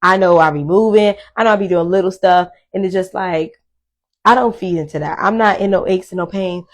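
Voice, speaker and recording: A woman's voice: fairly low pitch, brisk. Blunt, casual woman in her thirties. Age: 30s. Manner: blunt, candid, swears freely, rambling with many fillers. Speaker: podcast host. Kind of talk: casual solo monologue to viewers.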